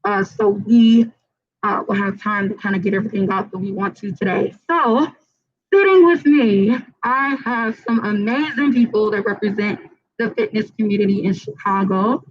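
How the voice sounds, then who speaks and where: A woman with a raspy, hoarse voice moderates a casual panel at a community event. She talks in an upbeat, chatty way and often pauses on fillers. Her voice sits at a middle pitch, and the recording sounds dull and lo-fi. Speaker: panel host and fitness trainer.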